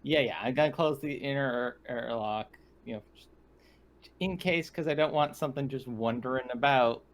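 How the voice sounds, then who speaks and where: A man's voice: medium pitch, normal speed. A man chatting casually as a player in a tabletop roleplaying game, rambling and correcting himself as he explains.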